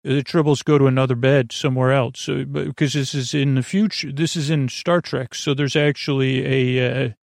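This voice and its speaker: Creaky-voiced man rambling softly off topic. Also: mid-range voice, fairly even intonation, dry recording, clean studio sound. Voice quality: creaky, gravelly. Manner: meandering, halting, repeats and corrects himself. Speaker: podcast host. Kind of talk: rambling, whimsical bedtime monologue.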